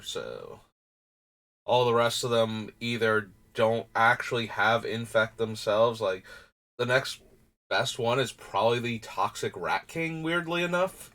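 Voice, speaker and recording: A man with a slightly low, stuffy-nosed voice, chatting to a live stream as he sorts through cards. He rambles and thinks out loud, full of "um" and "like", and his nasal tone is clear throughout. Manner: rambling, thinking aloud with fillers. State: runny nose. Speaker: streamer building a card game deck. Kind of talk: casual live-stream talk.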